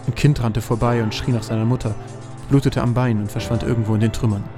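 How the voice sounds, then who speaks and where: A man's voice: mid-range voice, fairly even intonation, fast talker, over music. A man narrating a scripted fantasy audio drama as a storyteller.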